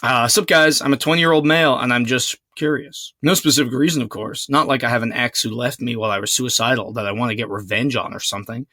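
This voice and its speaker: A young man with a slightly husky voice co-hosting a casual podcast, tossing off dry, sarcastic one-liners. His mid-range voice is recorded close and dry. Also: mid-range voice, steady tone, fast, few pauses, bright, forceful tone, no room sound. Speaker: podcast co-host. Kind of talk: casual banter. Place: small closet.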